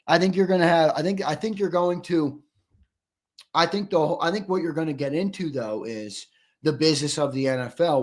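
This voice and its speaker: Man, sports talk host, slightly high voice, hoarse, argumentative, repeats points for emphasis. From United States. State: losing his voice, hoarse. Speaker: sports show host reading viewer comments. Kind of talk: live-stream sports talk.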